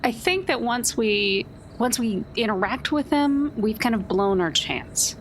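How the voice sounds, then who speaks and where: A woman's voice: fairly high pitch, expressive, a little slow. A woman playing a tabletop game, reasoning through choices in casual hedged phrases. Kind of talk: casual play talk. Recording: noisy background hiss.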